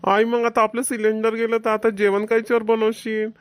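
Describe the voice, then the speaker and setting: A woman's voice: a little high, flat delivery, normal speed, no room sound, clean studio sound, lightly acted. A woman voicing a bossy, know-it-all schoolboy older brother in a Marathi cartoon comedy, with a rural Vidarbha accent. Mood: whiny. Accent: Maharashtrian, rural Vidarbha dialect. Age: child character, about eleven.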